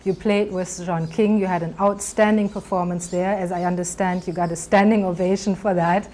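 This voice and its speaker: A woman interviewer putting prepared questions to a guest in a measured, formal way. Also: on the low side, steady tone, mellow, slight background noise. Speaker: interviewer, TV host.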